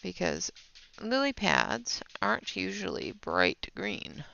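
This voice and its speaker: A woman's voice: fairly low pitch, wildly swooping pitch, slow, vocal fry, sharp tone. A woman hosting a casual live coloring tutorial, chatting with viewers and talking through each step, sounding stuffy and sniffly with a sinus infection. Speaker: livestream art host. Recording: dull lo-fi, dry sound.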